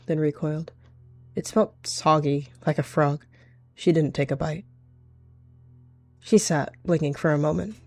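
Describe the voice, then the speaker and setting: A woman's voice: deep voice, a little mellow, no room sound. A woman giving dramatic third-person narration for an animal roleplay story, with music behind her.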